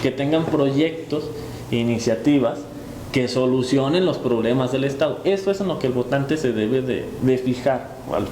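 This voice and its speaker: A man's voice: medium pitch, moderate pace, mellow. A man from Jalisco with a Mexican Spanish accent, a panelist who argues firmly and repeats his key points. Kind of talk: debate argument. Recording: echoey room with noise.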